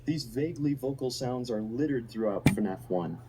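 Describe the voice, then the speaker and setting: A man's voice: mid-range voice, expressive, moderate pace. Man narrating analytically over background noise. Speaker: video essay narrator.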